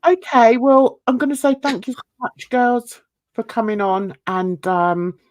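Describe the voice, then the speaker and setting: A woman's voice: fairly high pitch, animated, unhurried, no room sound. A woman hosting a casual livestream, rambling with many fillers, a bit under the weather with a cold. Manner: chatty, rambling, frequent fillers. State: coughing and sneezing. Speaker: livestream host.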